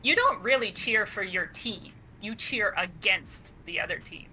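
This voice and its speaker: A woman's voice: medium pitch, animated, unhurried, pushed and bright, a little hiss or hum, poor audio. A woman from Canada, co-hosting a podcast in casual chat, talking freely and laughing often. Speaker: podcast co-host.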